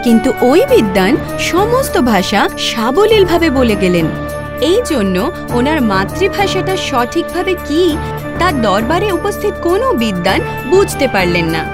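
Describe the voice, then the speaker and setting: A woman's voice: sits high, very animated, moderate pace. A woman narrating a scripted children's story as a storybook narrator. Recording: clear background music.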